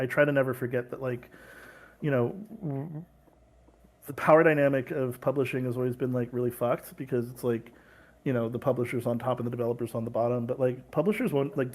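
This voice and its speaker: A man's voice: mid-range voice, creaky, a little mellow. A man from California talking casually in an interview as a game publisher, rambling with many fillers and restarts over a video call.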